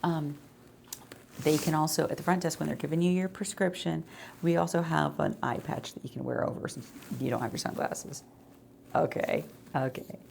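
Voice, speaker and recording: A woman speaking softly in an ASMR medical roleplay, explaining things in a reassuring, conversational way as an eye doctor. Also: sits low, steady tone, normal speed, creaky, slight background noise. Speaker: ASMR creator role-playing an eye doctor.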